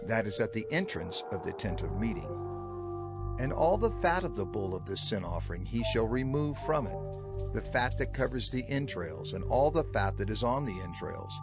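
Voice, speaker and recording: A man narrating scripture for a dramatized audio production in a measured, solemn, declamatory manner. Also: lowish voice, big pitch swings. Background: music.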